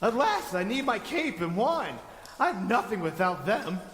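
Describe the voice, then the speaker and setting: Crazy wizard prisoner, really high swoopy voice, echoey cell, eccentric and theatrical. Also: male voice, sing-song, unhurried, a bit bright, a little hiss or hum, full character voice. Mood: teasing, theatrical. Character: crazy skinny bearded captive wizard, eccentric last tribesman. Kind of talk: comedic audio drama acting. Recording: very echoey, long stone-cell reverb.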